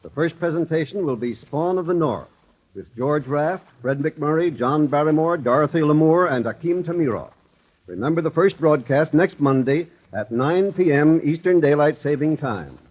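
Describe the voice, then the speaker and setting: A very tinny, phone-like old radio recording of a male announcer. His voice is fairly high as he reads a scripted 1930s promo. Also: expressive, normal speed.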